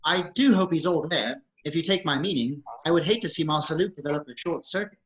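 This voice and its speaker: A man's voice: fairly high pitch, a little fast, a bit bright, lo-fi sound, natural voice. A man voicing a prim, fussy protocol robot with formal phrasing in an amateur table read. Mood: fussy worry.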